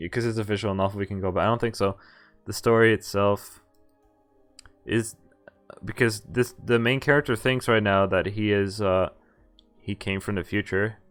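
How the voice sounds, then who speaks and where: A young man doing a live let's play, reading game text aloud with informal commentary and the odd stumble. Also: medium pitch. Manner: informal, stumbles and rereads while sight-reading. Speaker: game streamer. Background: faint game music.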